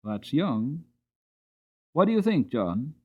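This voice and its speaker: A man voicing a grave but kindly Victorian master of the house in an audiobook, measured, formal and genial. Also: mid-range voice, sing-song, breathy edge, phone-quality sound, full character voice. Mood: kindly, businesslike. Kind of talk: dramatic audiobook character reading.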